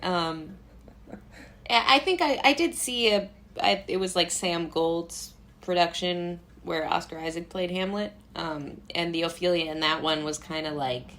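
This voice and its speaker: An American woman with a lowish voice speaks as a guest in a casual interview. Her manner is informal and rambling, amused and quick to poke fun at herself. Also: a bit bright. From Brooklyn, United States.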